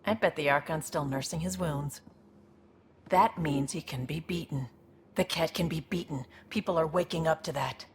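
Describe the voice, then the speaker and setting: An actress playing a tough, streetwise woman in a video game, blunt and reflective, with a gravelly voice. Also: low voice, normal speed, background noise, close to an everyday voice. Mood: assured. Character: tough, streetwise woman seeking a fresh, honest start.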